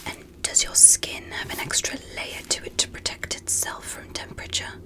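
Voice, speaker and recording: A woman voicing a curious, polite alien doctor in a gentle, careful, clinical manner for a whispered ASMR medical roleplay. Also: normal speed, natural voice.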